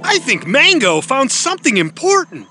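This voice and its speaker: An actor voicing Ben, a friendly talking dog, in a cartoon with music behind. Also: male voice, very high voice, wildly swooping pitch, moderate pace, heavily acted. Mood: playful.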